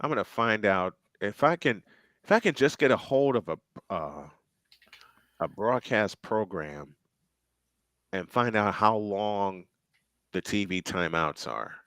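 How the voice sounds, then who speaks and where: Male play-by-play caller, chatty between plays, crisp and dry. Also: fairly low pitch, expressive, unhurried, long pauses, fairly bright tone, no room sound. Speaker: play-by-play commentator. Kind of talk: live football commentary.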